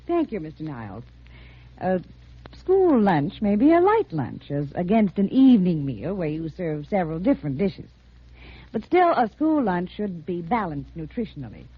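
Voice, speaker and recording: A woman's voice: on the low side, sing-song, moderate pace, slightly rough. An American woman reading a 1950s radio advertisement as a home economist, formal, carefully enunciated and instructive. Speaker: home economist giving a sponsor message. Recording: muffled 1950s radio recording.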